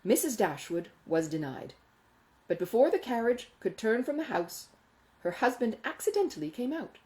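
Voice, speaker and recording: Mellow, expressive woman narrator. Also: on the high side, normal speed. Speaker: audiobook reader. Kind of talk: expressive literary narration of a classic novel.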